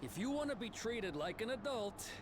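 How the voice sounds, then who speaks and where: A woman's voice: fairly high pitch, big pitch swings, moderate pace, noisy background. A woman voicing a weary, haughty male frontier deputy in a fantasy game, pompous and exasperated. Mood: stern, condescending.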